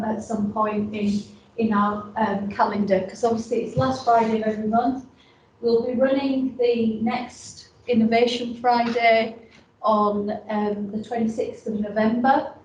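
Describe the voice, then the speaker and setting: A woman with a Yorkshire accent speaks to a room of guests from some way off the microphone, her fairly high voice ringing around a large, echoey space with a faint hum underneath. She talks in a loose, chatty way, pausing on plenty of ums and starting sentences over. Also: darkish tone. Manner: chatty, many ums and restarts. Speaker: innovation hub staff presenter. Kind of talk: casual presentation to an audience. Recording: roomy audio from a distant mic.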